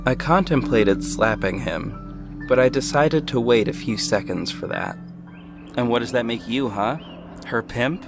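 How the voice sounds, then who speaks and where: A man playing a protective, self-assured ex-cop detective with a measured, firm delivery in an audio drama. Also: medium pitch, vocal fry. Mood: narrating, composed, then contemptuous. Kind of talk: first-person audio drama narration and dialogue. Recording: music bed behind the voice. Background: music.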